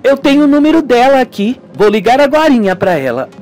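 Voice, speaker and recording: A young woman of a radio melodrama, played by a man, eager and scheming. The pitch is high and very lively, the manner emphatic and coaxing. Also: a little fast, soft background music.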